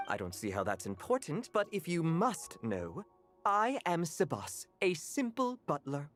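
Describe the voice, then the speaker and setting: Low, haughty butler cat. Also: female voice, wildly swooping pitch, measured pace, faint music behind, heavily acted. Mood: formal. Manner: haughty, formal. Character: proud, proper butler cat, an alchemical creature. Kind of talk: video game voice acting.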